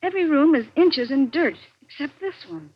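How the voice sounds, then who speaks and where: An actress playing a worried, stubborn young married niece in 1940s radio suspense, earnest and dramatic. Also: female voice, high-pitched, sing-song, normal speed. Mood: worried, puzzled. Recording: muffled, noisy old radio recording.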